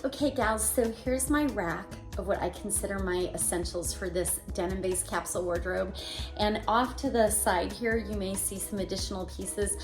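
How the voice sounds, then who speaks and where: A woman hosts a relaxed style vlog from her home, her voice raspy and hoarse from a week-long cold. She talks in a chatty, upbeat way straight to her viewers, at a mid pitch, with faint music playing underneath. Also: moderate pace. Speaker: style vlogger and show host. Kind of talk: casual friendly wardrobe vlog.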